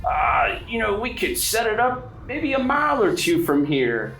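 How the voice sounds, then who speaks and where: A man voicing a talkative, polite elderly gentleman in a tabletop game, with a hesitant, rambling, folksy way of speaking. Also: sits high, huge pitch swings, unhurried, faint music behind, pitched above the performer's own voice, exaggerated voice. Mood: chatty, wistful. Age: older. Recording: roomy echo, background noise.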